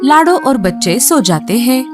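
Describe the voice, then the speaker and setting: A woman's voice: sits high, very animated, a little slow. A woman narrating a cartoon story in a plain storybook manner. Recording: background music.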